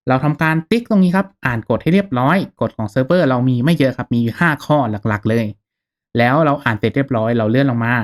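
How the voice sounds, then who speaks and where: Casting: male tutorial host, medium pitch, casual and courteous step-by-step explainer for a gaming channel. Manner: informal, polite, explanatory, checking in with listeners.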